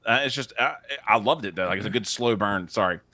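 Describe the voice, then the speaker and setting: A man co-hosting a casual movie podcast, excitable and joking, often cutting in mid-sentence. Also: lowish voice, fairly quick, no room sound. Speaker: podcast co-host.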